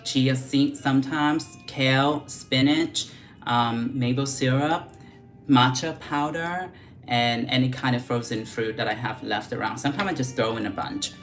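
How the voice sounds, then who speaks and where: A woman's voice: very deep voice, measured pace, soft background music. A young woman with a Vietnamese accent mixed with Australian English, chatting frankly on a makeup livestream and reading out viewer questions. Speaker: beauty vlogger doing a livestream. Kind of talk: casual livestream chat while doing makeup.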